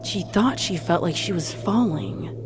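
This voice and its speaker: A woman's voice: on the low side, lively intonation, measured pace, noisy background. A woman narrating a scripted story as a fan fiction reader. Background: music.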